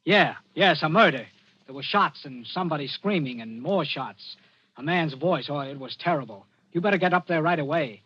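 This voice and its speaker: A man's voice: a little high, animated, moderate pace, pushed and bright, breathy edge, full character voice. A man acting a nervy, evasive criminal in a 1940s radio drama, with a stammering manner. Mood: panicked, urgent. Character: nervy, evasive male criminal cornered by police. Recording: dull vintage 1940s radio recording.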